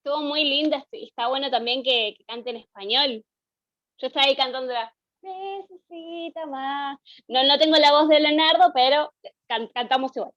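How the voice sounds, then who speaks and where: A woman's voice: high-pitched, moderate pace, a bit bright, no room sound, muffled recording. A friendly Argentine woman co-hosting an online meeting from her phone. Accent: Argentine Spanish. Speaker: co-host. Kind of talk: livestream hosting.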